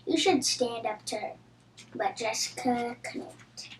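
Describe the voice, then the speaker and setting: A female reader with a very high voice reads a children's storybook aloud. The room is echoey, and there is some background noise. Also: slow pace. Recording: roomy echo with audible background noise.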